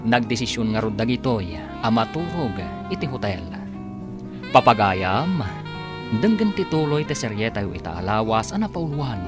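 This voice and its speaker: A man's voice: medium pitch, big pitch swings, normal speed, music in the background. A man with an Ilocano accent narrating a radio drama as its announcer in a dramatic scripted style.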